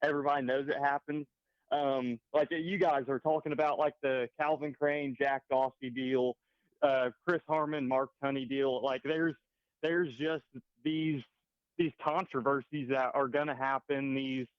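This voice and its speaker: A man's voice: mid-range voice, fairly even intonation, measured pace, clear, resonant tone, no echo, muffled recording. A young man, a race car driver, chatting casually on a podcast and filling his talk with "like". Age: young adult. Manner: casual, heavy on "like".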